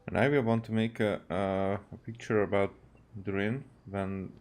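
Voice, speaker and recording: Low-voiced man casually narrating gameplay. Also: fairly even intonation, moderate pace, darkish tone. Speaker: game streamer. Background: game music.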